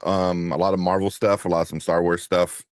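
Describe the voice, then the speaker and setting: A man with a very deep voice co-hosting a casual livestream show, laid-back and chuckling, rambling with fillers. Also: level tone, a little fast, dry recording, studio quality. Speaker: co-host.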